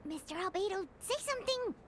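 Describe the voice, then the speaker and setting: A woman voicing a tiny, chirpy, blunt female fairy companion in a game, with a very high, squeaky voice. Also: lively intonation, brisk, a little hiss or hum. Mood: cheeky. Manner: cheeky and blunt.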